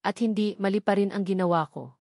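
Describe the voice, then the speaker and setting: A woman's voice: medium pitch, a little fast, darkish tone, no room sound, studio quality, close to an everyday voice. A woman storyteller voicing an earnest, apologetic rich young man in a romance story, pleading and sincere. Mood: sincere apology.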